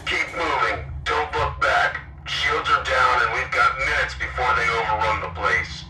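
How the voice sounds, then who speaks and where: A man's voice: mid-range voice, a little slow, natural voice. A man playing a decisive, resolute military lieutenant in a sci-fi radio drama, giving terse, authoritative orders. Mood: urgent. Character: commanding male military lieutenant, decisive and resolute under fire. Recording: distant voice under loud music.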